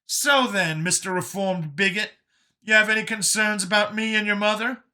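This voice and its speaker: Man putting on a high-pitched sardonic backwoods veteran. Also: fairly even intonation, moderate pace, bright, forceful tone. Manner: incredulous, sardonic, questioning. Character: Brian, skeptical backwoods war veteran doctor, adult man.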